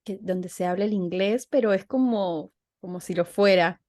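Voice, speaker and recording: A woman hosts a relaxed conversation, speaking in a middle-pitched voice. She reflects aloud and often restarts phrases while framing her questions. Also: moderate pace. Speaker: host, interviewer. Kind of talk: casual interview conversation.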